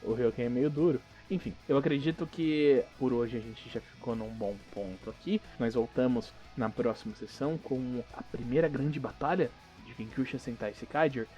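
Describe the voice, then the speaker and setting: Mellow Brazilian man hosting a role-playing podcast. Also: medium pitch, normal speed, few pauses, lo-fi sound, soft background music. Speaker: game master and podcast host. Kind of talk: casual tabletop narration and podcast sign-off.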